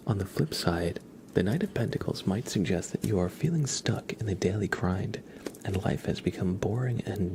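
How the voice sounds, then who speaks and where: A man hosting an ASMR livestream, speaking softly in a murmur that often slips into whispers. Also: deep voice, vocal fry, slight background noise. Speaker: livestream host. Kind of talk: soft-spoken ASMR livestream.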